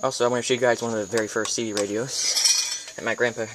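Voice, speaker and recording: A clearly noisy, clicky amateur recording carries an American man's voice at an average pitch. He hosts a casual hands-on demonstration, speaking informally and haltingly. He tends to think aloud as he explains each step. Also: steady tone. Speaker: video tutorial host.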